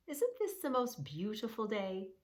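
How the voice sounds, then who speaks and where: A woman's voice: mid-range voice, huge pitch swings, unhurried, darkish tone. A woman voicing a curious young girl on a mountain hike, asking eager questions. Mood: delighted. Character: young girl hiking with her aunt, curious.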